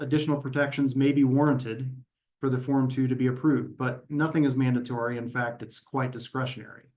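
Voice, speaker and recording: A man delivers formal, prepared testimony as an advocate at a hearing. His mid-pitched voice moves methodically from point to point in a measured, orderly manner. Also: darkish tone, poor audio. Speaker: environmental advocacy representative testifying at a regulatory hearing. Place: virtual meeting.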